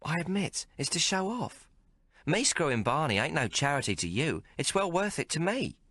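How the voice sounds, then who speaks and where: A man's voice: a little high, sing-song, normal speed, a bit bright, no echo, voice pushed up. A man reading aloud and voicing a coarse, fiery young female prostitute. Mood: brazen. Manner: coarse, bold, mocking.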